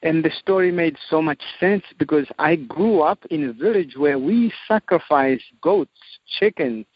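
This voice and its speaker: A man from Zimbabwe, a missionary guest, telling his testimony in a slow, measured way with frequent pauses. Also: a little high, animated, slightly rough, no echo. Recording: dull, dry audio.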